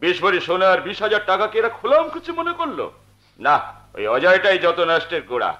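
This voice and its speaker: An actor playing an arrogant moneyed villain in stage melodrama, affronted and swaggering. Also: male voice, high voice, sing-song, fairly quick, lo-fi sound, noisy background. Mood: scheming. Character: arrogant, moneyed young villain plotting revenge. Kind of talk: melodramatic Bengali folk theatre acting.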